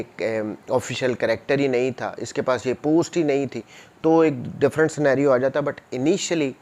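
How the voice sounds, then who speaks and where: A man's voice: medium pitch, darkish tone. A man with a Pakistani accent lecturing on law, reading out formal text and explaining it point by point with repetition. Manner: mixes English legal terms into explanations, repeats points for clarity.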